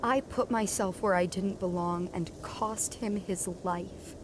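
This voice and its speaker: A woman's voice: medium pitch, background noise, natural voice. A woman playing an earnest, determined young heroine burdened with guilt in a family audio drama. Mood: remorseful, tearful. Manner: earnest, halting. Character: determined, guilt-ridden young heroine climbing a magical mountain.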